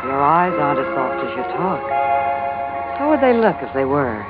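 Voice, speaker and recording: Swooping, seductive radio femme fatale. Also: female voice, low-pitched, sing-song, moderate pace. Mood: seductive, vulnerable. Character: seductive, double-crossing femme fatale, hard-edged and restless. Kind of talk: 1940s-50s radio noir acting. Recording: muffled old radio recording, music behind.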